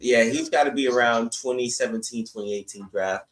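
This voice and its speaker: A man's voice: mid-range voice, fairly quick. A man co-hosting a sports podcast in casual conversation, often repeating words as he thinks through his opinion.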